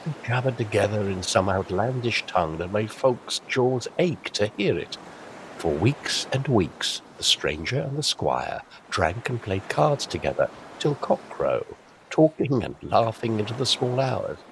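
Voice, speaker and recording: A man's voice: fairly low pitch, very animated, measured pace, vocal fry, slight background noise, low-quality recording. A man narrating a scripted audio drama as a dramatic storyteller. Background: music.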